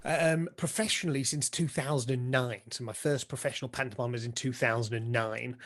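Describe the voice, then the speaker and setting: A man's voice: medium pitch, fairly even intonation, a little fast, a bit bright, no room sound. A man chatting and explaining as an interview guest, with a jokey, talkative manner. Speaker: interview guest, pantomime dame and director. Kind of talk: casual explanatory interview talk.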